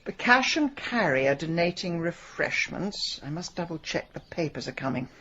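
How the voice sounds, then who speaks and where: A brash middle-aged female sleuth in a radio drama, bossy and sharp-tongued. Her pitch leaps about animatedly, and the sound is slightly dulled. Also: expressive, moderate pace, muffled recording. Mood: scheming, bossy. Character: brash, determined middle-aged PR woman turned amateur sleuth.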